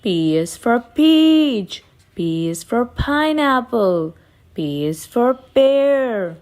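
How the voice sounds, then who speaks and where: A woman reading aloud for preschool children in a deliberate way, pausing between short phrases. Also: on the high side, very animated, darkish tone.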